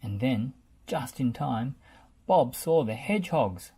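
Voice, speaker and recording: A man reading a children's storybook aloud in an expressive narrating style. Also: mid-range voice, slow, breathy edge. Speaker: storybook reader.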